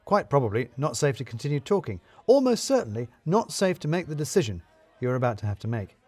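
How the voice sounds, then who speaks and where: Guy narrating a funny story, wry and theatrical, really lively pitch, dry studio sound. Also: fast, no room sound, faint music behind. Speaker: narrator of a comic murder mystery story. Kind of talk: expressive first-person scripted storytelling.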